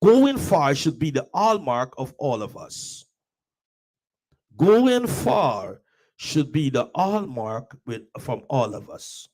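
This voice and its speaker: A man's voice: medium pitch, sing-song, slow pace, darkish tone. A man with a Guyanese accent hosting a live motivational talk, preaching in repeated, building phrases with frequent "oh yes" interjections. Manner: preachy, repetitive, builds with rising exclamations. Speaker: host and motivational speaker.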